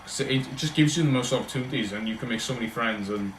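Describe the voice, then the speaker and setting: A man's voice: mid-range voice, fast talker, echoey room, slight background noise, rough recording. A man with a Welsh accent, chatting casually and rambling on a livestream as he answers his viewers. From Wales. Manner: rambling, chatty, talks in loose run-on thoughts.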